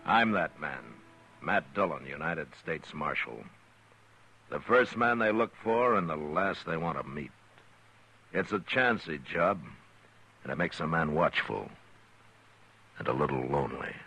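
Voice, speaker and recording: A man playing a grave, weary frontier marshal in a 1950s radio drama, calm and measured. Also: very deep voice, slight background noise, heavily acted, poor audio. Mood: brooding. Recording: old radio broadcast.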